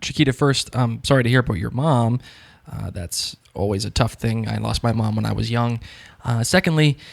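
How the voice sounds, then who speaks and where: A man's voice: medium pitch, moderate pace, creaky. A man hosting a podcast, answering listener messages in a sympathetic, casual way and thinking aloud, with what he calls a thick accent. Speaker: podcast host.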